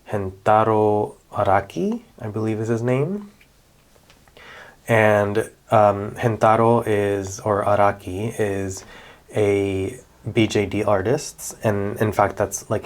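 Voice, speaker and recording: A man, chatting casually in a relaxed vlog about his doll collection, with many fillers and self-corrections. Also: lowish voice. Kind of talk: casual show-and-tell vlog.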